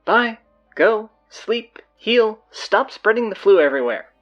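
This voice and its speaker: An actress playing a bossy, sardonic teenage high school girl with a blunt, exasperated manner in an audio drama. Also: animated, a little slow, muffled recording, faint music behind.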